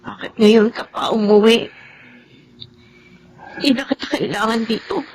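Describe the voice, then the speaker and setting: A woman's voice: a little high, moderate pace, darkish tone, slight background noise, close to an everyday voice, low-quality recording. A woman with a Filipino accent acting an anguished, resentful young wife in a radio drama, crying as she speaks. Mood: bitter, weary.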